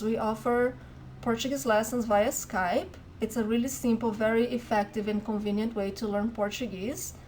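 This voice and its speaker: A woman with a Brazilian accent runs a Portuguese lesson at a slow, deliberate pace. Her voice sits somewhat high, and she says each word carefully and then repeats it. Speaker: Portuguese teacher.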